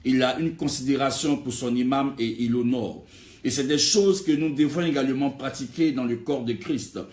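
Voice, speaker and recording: A man's voice: mid-range voice, moderate pace, darkish tone. A man preaching an exhortatory sermon in an emphatic way, building on repeated rhetorical phrases. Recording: echoey, reverberant room.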